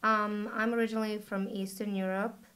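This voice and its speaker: A woman from Bosnia speaks in a level, even voice with little rise and fall. She talks softly and reflectively, pausing and hesitating as she gathers her thoughts in a documentary interview. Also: medium pitch. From Bosnia and Herzegovina. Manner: soft, reflective, hesitant with pauses. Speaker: body paint artist.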